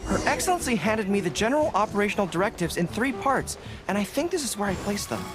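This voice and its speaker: A man's voice: high voice, sing-song, fast, music in the background. A man voicing an earnest, dutiful young general in an English anime game, thinking aloud in formal military phrases. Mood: thoughtful, earnest. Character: earnest young male general, dutiful, eager, slightly flustered.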